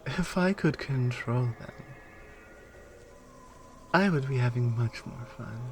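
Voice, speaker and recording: Woman acting slow-spoken, taunting Amazonian warrior. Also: a bass voice, lively intonation, slight background noise, full character voice. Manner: taunting, deliberate, hushed at times. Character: stern, proud female Amazonian warrior guarding her captive. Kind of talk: ASMR roleplay monologue.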